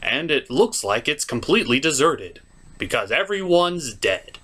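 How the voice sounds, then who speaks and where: A man's voice: mid-range voice, big pitch swings, unhurried, pushed and bright, slightly rough. A man giving a wry, theatrical scripted comedy narration of a film recap. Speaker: host of a comedic puppet-show movie review.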